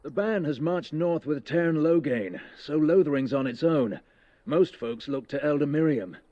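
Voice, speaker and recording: A man's voice: on the high side, normal speed, lightly acted. Man playing a slightly muffled, weary knight on guard. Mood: resigned, cautioning. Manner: formal, cautioning, resigned. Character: weary, stern armored knight guarding a refugee village. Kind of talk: fantasy video game voice acting.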